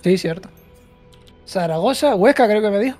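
A Canarian man in his thirties streaming a game, joking and teasing his friends in quick banter over a headset. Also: high voice, animated, warm tone. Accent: Canarian Spanish. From Canary Islands. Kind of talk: casual chat while gaming. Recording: game music behind.

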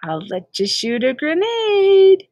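A woman voicing an excited, cocky young boy gamer in a storybook, enthusiastic, breezy and persuading. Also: sits high, slow, dry recording, highs cut off, voice pushed up, exaggerated voice. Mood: reassuring, upbeat.

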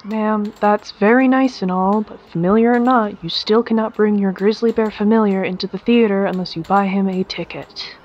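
A woman's voice: mid-range voice, steady tone, moderate pace, hardly any pauses, darkish tone, dull audio, close to an everyday voice. A woman playing a weary, exasperated theater ticket seller in an audio drama. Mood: firm, exasperated. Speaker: ticket vendor. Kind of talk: audio fiction acting.

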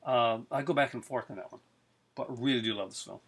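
A man's voice: mid-range voice, a little fast, gravelly, a bit bright, breathy edge. A middle-aged man talking casually and eagerly about his film collection, rambling with many fillers, recorded in his living room on a webcam. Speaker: collector reviewing films. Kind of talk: casual video review. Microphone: webcam at close range.

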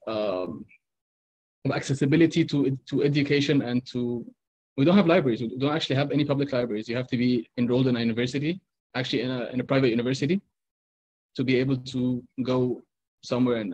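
A young man answers reviewers' questions about his project. He hesitates, apologizes and repeats his words as he works out his point. His voice comes through a slightly muffled video call line at a middle pitch. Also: fast talker, a little mellow, husky, dry recording. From Egypt. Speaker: student presenting a project.

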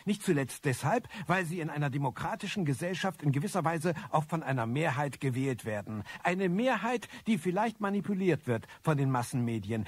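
An actor playing a disillusioned, bitter loner, narrating a radio drama in a husky, articulate voice. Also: male voice, on the high side, heavily acted. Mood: bitter reflection. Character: disillusioned, bitter male loner, obsessive computer eavesdropper.

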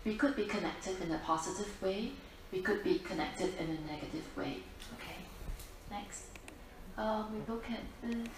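Female Buddhist teacher, deliberate and questioning, addressing a group from across a reverberant room, distant mic. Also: medium pitch. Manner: deliberate, repetitive, questioning the listeners. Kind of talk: dharma talk to a seated group. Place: library-like meeting room, talk to an audience. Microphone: distant camera at back of room. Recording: echoey room, distant mic, faint noise.

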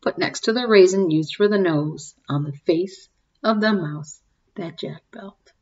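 A woman in her forties reads a picture book to small children in a slightly low voice. She takes it very slowly, stopping to ask questions and comment in an expressive, child-directed way. The sound is a little dull, as from a webcam in a room at home. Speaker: teacher reading aloud to young children. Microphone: webcam or laptop mic at desk distance.

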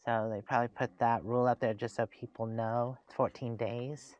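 A man's voice: medium pitch, flat delivery, normal speed, a little mellow, no echo, lo-fi sound. A man hosting a casual travel vlog, chatting and thinking aloud as he drives, recorded inside a vehicle with road noise. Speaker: host.

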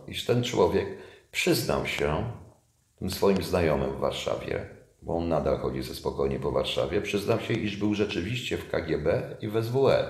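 A man's voice: sits low, a little mellow. A man in his fifties, a former intelligence officer as guest, speaking emphatically and with indignation. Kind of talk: political interview. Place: room with leather sofa, indoors. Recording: echoey room.

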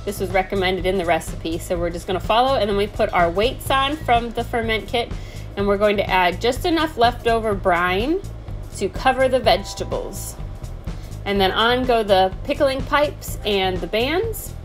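A woman's voice: medium pitch, animated, moderate pace, faint music behind. A woman in her thirties, a homesteading host, chatting through recipe steps in a home kitchen. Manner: chatty step-by-step explaining. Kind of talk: casual cooking voice-over.

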